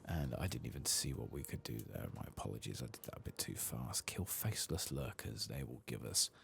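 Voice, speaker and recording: A man giving soft-spoken ASMR commentary over a video game, with understated asides to himself, close to the microphone. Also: a bass voice, animated, fairly quick, vocal fry, slight background noise.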